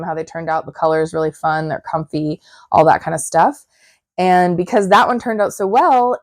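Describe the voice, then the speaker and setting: A woman's voice: on the low side, no room sound. Slightly froggy-voiced woman, dry close sound. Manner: chatty and upbeat. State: hoarse, recovering voice. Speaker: crafting channel host. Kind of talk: casual vlog talk to camera.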